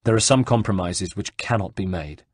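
A man's voice: low voice, moderate pace, breathy edge, no echo, clean studio sound. A man voicing an earnest, principled male hero in an audiobook, speaking simply. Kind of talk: audiobook character dialogue.

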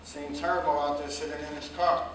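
An actor playing an earnest, mourning cab driver in a staged play reading. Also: male voice, a little high, flat delivery, normal speed, close to an everyday voice. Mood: casual. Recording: very noisy echoey room, distant mic.

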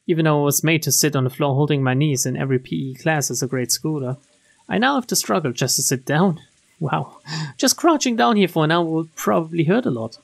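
A streamer reading a visual novel aloud in an expressive way, with casual, joking asides. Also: mid-range voice, brisk, gravelly. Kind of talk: let's play read-aloud with casual asides.

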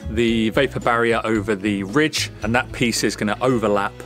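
A man's voice: on the low side, fairly even intonation, normal speed, fairly bright tone, faint music behind. A man in his thirties hosting a renovation vlog, explaining things casually to camera outdoors. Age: 30s. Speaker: vlog host renovating a house. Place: outdoors at a rural building site.

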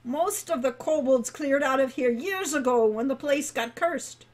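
A woman's voice: high voice, a little slow. Woman doing a peevish old dwarf. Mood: rambling. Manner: rambling, peevish, stammering. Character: peevish rambling old male dwarf guildmaster.

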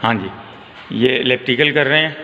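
A middle-aged gym trainer patiently explaining a cardio plan step by step, recorded at a distance on a phone in an indoor gym. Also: male voice, mid-range voice, fairly quick, sharp tone, slight background noise. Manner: patient, step-by-step instruction with frequent "inshallah". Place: indoor gym with hard walls. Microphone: vlogger's phone held at a distance. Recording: very echoey, dull gym audio.

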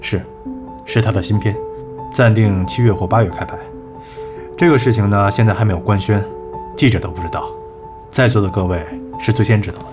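A man playing a cool, assured agency executive in an audio drama, measured and persuasive. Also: fairly low pitch, over music, lightly acted. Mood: confident, persuasive. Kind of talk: Chinese audio drama acting.

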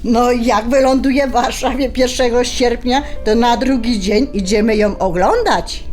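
Woman playing a feisty older highlander sister, high-pitched. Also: a little slow, a little mellow, close to an everyday voice. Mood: boastful. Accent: Polish highlander (Goral). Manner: combative, blunt, rural dialect. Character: feisty, defiant mature sister about to marry late. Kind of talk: audio drama acting.

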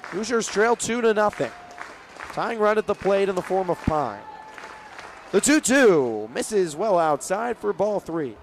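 A young man does baseball play-by-play on the radio in a fairly high voice. He is at an outdoor ballpark, with crowd and stadium noise behind him. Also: very animated, measured pace. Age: young adult. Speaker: play-by-play broadcaster. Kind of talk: live baseball radio commentary.

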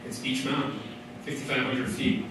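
A man's voice: mid-range voice, steady tone, normal speed. Man, 30s, conference presenter, enthusiastic, casual fillers, heavy hall echo, distant mic. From Colorado. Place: large hall with an audience. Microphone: podium mic, filmed from far back. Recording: echoey hall from far off, noisy.

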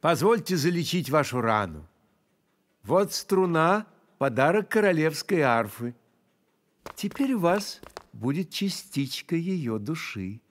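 Slow, animated gentleman grasshopper guide. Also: on the high side, a little hiss or hum. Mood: courtly. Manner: expressive, measured, courtly. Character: courtly gentleman grasshopper, a learned musical guide. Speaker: narrator and guide. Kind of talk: storytelling cartoon narration for children. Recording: music playing behind the voice.

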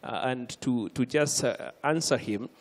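A man's voice: mid-range voice, measured pace, in a large hall. A man with a Kenyan accent answering on a conference panel into a handheld mic, earnest, with many restarts. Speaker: panelist, lecturer. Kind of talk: panel discussion. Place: conference stage.

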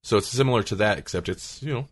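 Low-voiced man chatting about games. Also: fast talker, breathy edge. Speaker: podcast host. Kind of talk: casual chat about video games.

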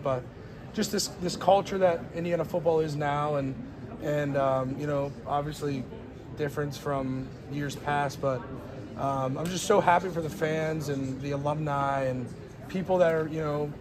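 A young college football player answering reporters after a game in a crowded weight room, earnest and grateful, with many fillers. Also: male voice, on the high side. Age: young adult. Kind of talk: postgame media scrum. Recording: crowd chatter behind.

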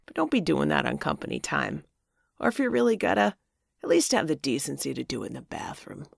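A brash middle-aged female garage boss played by a woman with a heavily creaky, low voice. She teases bluntly and casually, with lively swings of intonation, as in an audio drama. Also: fairly quick, no room sound, clean studio sound, full character voice. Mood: smirking, teasing.

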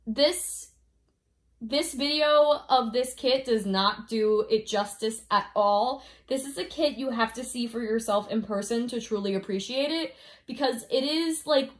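Brief: young female hobby vlogger, higher voice, slight head-cold stuffiness, giggly, rambling, casual. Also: unhurried. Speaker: diamond painting vlogger. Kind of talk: casual review chat, reading off notes. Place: indoors at home, filming down at a canvas.

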